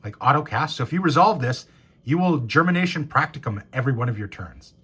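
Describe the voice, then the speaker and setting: A hoarse, raspy man in his thirties, a game store owner, chatting casually and excitedly as he opens packs and reads out cards. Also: mid-range voice, quick pace. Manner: enthusiastic, reads card names and rules aloud. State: hoarse after a cold. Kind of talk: casual box-opening commentary.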